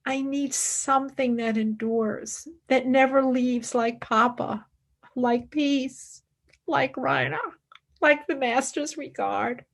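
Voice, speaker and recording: Woman playing intense young student lady, radio drama, highish voice, slow and very expressive. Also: gravelly, heavily acted. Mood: grieving, anguished. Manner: dramatic, fervent, deliberate. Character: intense, devoted young female philosophy student, emotionally fervent. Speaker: actor. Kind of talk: radio play acting.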